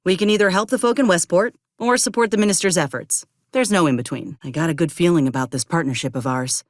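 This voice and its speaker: A woman's voice: on the low side, fast, no echo, clean studio sound, natural voice. An actress playing an earnest, determined young woman soldier with folksy rural turns of phrase in a video game. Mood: matter-of-fact. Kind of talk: video game character dialogue.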